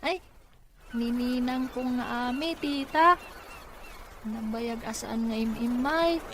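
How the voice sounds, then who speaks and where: A woman's voice: a little high, slow pace, fairly bright tone. A woman with a Filipino accent playing an obedient, timid young child in an Ilocano radio drama. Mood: surprised, polite. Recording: noisy ambient background.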